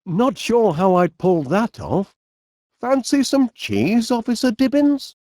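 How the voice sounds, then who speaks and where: A man voicing a genial, polite, eccentric middle-aged inventor with a Northern English accent in an animated comedy game. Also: high-pitched, no echo, studio quality, exaggerated voice. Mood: genial, chatty. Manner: polite, quaint British turns of phrase, drawn-out words. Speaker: video game voice actor.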